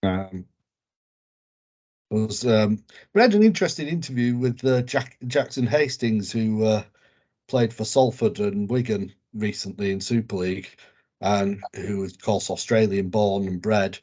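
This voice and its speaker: A man's voice: on the low side, unhurried, a little mellow. An English man co-hosting a podcast, talking casually with many ums and restarts. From England. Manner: rambling with many fillers and restarts. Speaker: podcast co-host. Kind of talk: casual sports chat.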